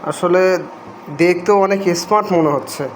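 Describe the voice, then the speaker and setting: A man with a Bangladeshi accent gives casual, unscripted vlog narration, pausing hesitantly between short phrases. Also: high-pitched. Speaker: vlogger unboxing a product. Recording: noisy home video audio.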